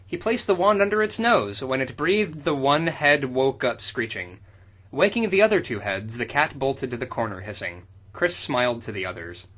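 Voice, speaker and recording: A man's voice: medium pitch, lively intonation, normal speed, a bit bright. A man co-hosting a podcast, giving a comic dramatic reading of fan fiction in an irreverent, sweary manner and breaking off to comment. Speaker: podcast co-host.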